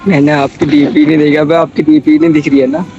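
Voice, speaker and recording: A man's voice: fairly high pitch, level tone, fast, lo-fi sound, loud music behind the voice. A man from northern India chatting casually in a bashful, hesitant way over game voice chat. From northern India, Meerut area. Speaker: prank target in game chat.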